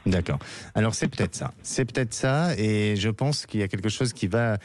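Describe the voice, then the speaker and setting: Man, radio psychic host, gentle, halting, lots of fillers, lowish voice, studio mic. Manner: hesitant, many "euh" fillers, gentle reassuring. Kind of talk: live call-in consultation.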